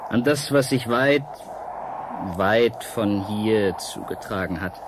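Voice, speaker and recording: An actor in a radio play voicing a seductive, mysterious painter from an ancient world, coaxing and dramatic with halting pauses. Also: male voice, lowish voice, background noise. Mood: mysterious, solemn.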